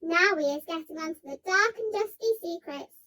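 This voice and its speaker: A gentle giant from a storybook who talks with mangled grammar and hushes his voice for secrets, as a reader puts him on. The voice sits very high. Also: moderate pace. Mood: mysterious. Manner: ungrammatical, mysterious, gentle.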